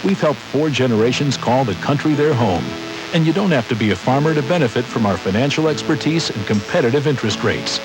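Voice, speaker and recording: A man's voice: mid-range voice, lively intonation, a little fast, music in the background, low-quality recording. A man reading a scripted radio commercial as an announcer.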